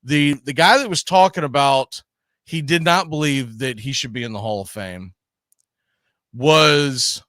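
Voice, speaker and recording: A man's voice: medium pitch, measured pace, a bit bright, dry recording. A man hosting a baseball talk show in a casual, rambling way. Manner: informal, rambling. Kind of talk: casual live show chat.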